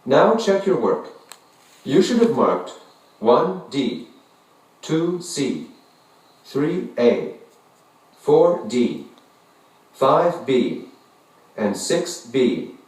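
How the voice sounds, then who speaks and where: A man narrating a language textbook listening exercise in a scripted, deliberate way. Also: mid-range voice. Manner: slow, deliberate, lively intonation. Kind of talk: scripted language-lesson instructions. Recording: echoey, distant textbook audio.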